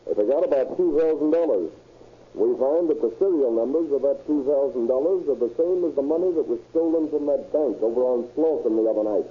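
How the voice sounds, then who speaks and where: A man's voice: medium pitch, slightly rough, lightly acted. Dogged radio police lieutenant played by a man, tinny recording. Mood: pressing, confident. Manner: calm, dry, needling, unhurried. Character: dogged, wry male police lieutenant questioning a suspect. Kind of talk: 1940s radio crime drama acting.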